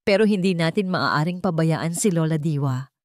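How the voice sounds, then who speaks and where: A woman's voice: low voice, moderate pace, darkish tone, no room sound, studio quality, natural voice. A woman voicing a worried, insistent companion in a narrated story, earnest and urgent. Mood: guilty insistence.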